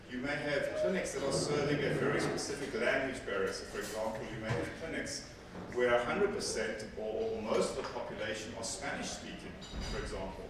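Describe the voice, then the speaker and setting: A man's voice: mid-range voice, background noise. A man presenting at a technical conference with a handheld mic, speaking conversationally and often repeating words as he corrects himself. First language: Afrikaans. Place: large hall with seated audience. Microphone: handheld mic, filmed from far back. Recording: echoey hall from far off with audience.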